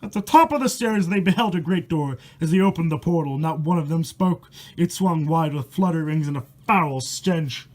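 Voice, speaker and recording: High-voiced man dramatically reading horror verse. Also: moderate pace, hardly any pauses, darkish tone. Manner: dramatic, irreverent, sweary, stumbling over words. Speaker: amateur storyteller and host. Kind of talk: reading a rhyming horror story aloud with casual asides.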